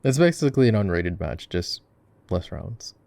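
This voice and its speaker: A man acts out an easygoing gamer boyfriend with a fairly deep voice full of vocal fry. He chats casually and affectionately, teasing his partner as he coaches in a roleplay over gameplay. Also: sing-song, normal speed, a little mellow, full character voice. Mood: easygoing, explaining. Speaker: voice actor. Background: keyboard typing and mouse clicking.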